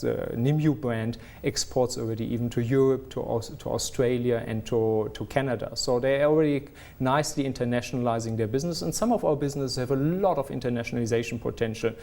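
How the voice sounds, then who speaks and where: Earnest middle-aged executive with foreign accent. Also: male voice, medium pitch, darkish tone. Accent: non-South African. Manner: earnest, searching for words, frequent restarts. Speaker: company executive. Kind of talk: business news interview.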